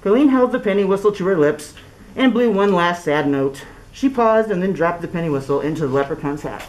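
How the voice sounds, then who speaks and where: A middle-aged woman reading a children's story aloud through a cloth mask in an expressive, deliberate way. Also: fairly low pitch, rough recording. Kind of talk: read-aloud storybook narration. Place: small indoor classroom. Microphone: camera a few feet away, speaking through cloth mask.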